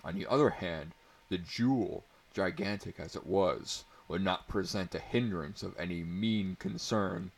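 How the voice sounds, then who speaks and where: A man's voice: deep voice, unhurried, creaky. A man narrating a story aloud in a portentous, mock-dramatic way, with amused asides. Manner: portentous, breaking into amused commentary.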